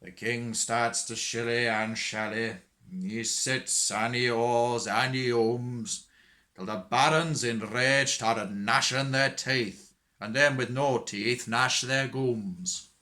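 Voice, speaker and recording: A man's voice: mid-range voice, takes it slowly, pushed and bright. A man playing a droll working-class storyteller, reciting a rhymed comic monologue in a singsong, tongue-in-cheek way.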